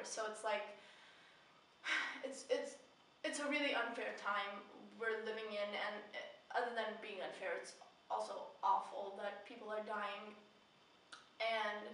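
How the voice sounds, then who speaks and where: A woman's voice: mid-range voice, a bit bright. A young woman talking casually and earnestly to the camera as a vlogger, rambling a little with heartfelt emphasis. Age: young adult. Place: small indoor room with bare painted walls. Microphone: camera mic at a distance. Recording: echoey room, distant mic, faint noise.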